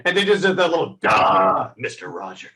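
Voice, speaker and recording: A man's voice: fairly high pitch, big pitch swings, normal speed, sharp tone. A man giving his take in a casual movie review, rambling and circling back to rephrase. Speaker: film review panelist.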